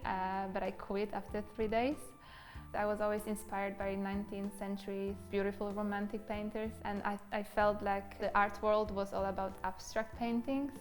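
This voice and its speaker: A woman from Poland speaks in a Polish accent about becoming a full-time painter. Her delivery is earnest and very even in pitch, with small repeats as she searches for words. Soft music sits behind her. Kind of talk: interview monologue.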